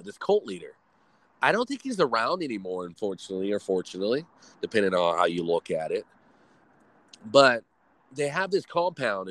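A man's voice: mid-range voice, no room sound. A man hosting a casual live stream, rambling and swearing freely as he chats with viewers. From Texas, US. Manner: rambling, profane, animated storytelling. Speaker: streamer host.

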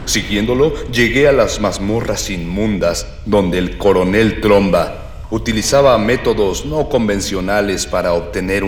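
A man's voice: fairly low pitch, a little hiss or hum, close to an everyday voice. An actor playing a florid ex-policeman who narrates a radio serial in a grandiloquent, mock-archaic manner. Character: florid ex-policeman narrator, male companion of the hero. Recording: reverberant, music underneath.

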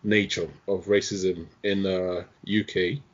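Guy with a lowish voice, thoughtful and measured, lots of ums, muffled lo-fi sound. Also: little pitch movement, breathy edge. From outside the UK. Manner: measured, reflective, frequent ums. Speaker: panel guest. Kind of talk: casual discussion. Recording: dull lo-fi sound.

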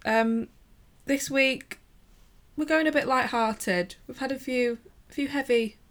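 A woman's voice: high-pitched, a little slow. A young woman co-hosting a podcast, chatting casually and giggling often, self-deprecating about her own speech. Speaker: podcast co-host. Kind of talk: casual chat.

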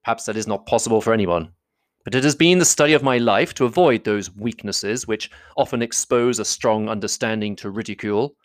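A man voicing a proud, reserved young gentleman, speaking in a measured, grave way. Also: on the low side, no room sound, clean studio sound, close to an everyday voice.

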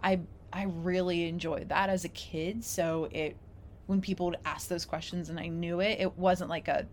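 A woman in casual, reflective conversation, telling stories in an animated way and acting out imagined quotes. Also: lowish voice, moderate pace, a little hiss or hum.

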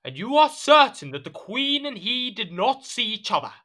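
Petulant, sharp-voiced, animated jealous king. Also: extremely high pitch, moderate pace, heavily acted. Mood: indignant. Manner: imperious, indignant, theatrical. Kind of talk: audio drama period acting.

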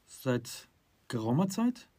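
Husky middle-aged man, conversational. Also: medium pitch, sing-song, slow, mellow. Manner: conversational, steers the topic. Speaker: podcast co-host. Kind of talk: casual chat.

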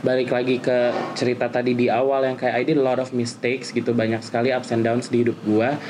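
Guy mid 20s, average pitch, casual talk with bits of english, slight background noise. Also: flat delivery, fairly quick, a little mellow. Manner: mixes in English phrases. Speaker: panel participant, event MC.

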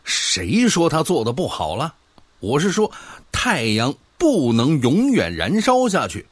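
A man acting an argumentative know-it-all in a sci-fi radio play, recorded close and dry. His pitch moves in a lively way as he needles and lectures his drinking buddy. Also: unhurried, slightly rough, no room sound, heavily acted. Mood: scoffing, insistent. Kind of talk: Chinese sci-fi audio drama dialogue.

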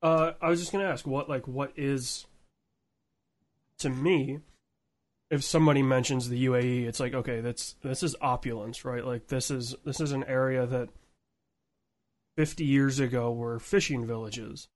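A man hosting a podcast interview in a casual, informal way, with halting phrasing. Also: medium pitch, steady tone. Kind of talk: casual chat.

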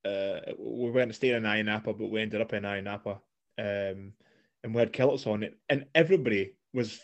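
A man's voice: fairly low pitch, moderate pace, dull audio. A Scottish man chatting casually, blunt and sweary, rambling with restarts. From Scotland. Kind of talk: casual chat.

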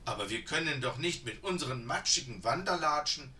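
A man's voice: medium pitch, expressive, brisk, bright, forceful tone, roomy sound, distant mic. A man voicing a reluctant young woman hiker who grumbles and complains. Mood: grumbling. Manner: sulky, complaining.

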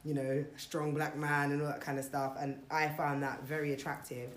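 A woman's voice: sits low, little pitch movement, brisk, slight background noise. A young adult woman in a casual group chat, thinking aloud about family and heritage, with plenty of fillers and restarts. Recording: roomy echo.

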